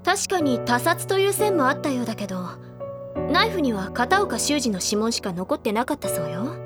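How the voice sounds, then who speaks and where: A woman's voice: high voice, big pitch swings, moderate pace, over music. An actress playing a confident, teasing schoolgirl painter in a Japanese audio drama, self-assured and playfully theatrical. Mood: composed, earnest.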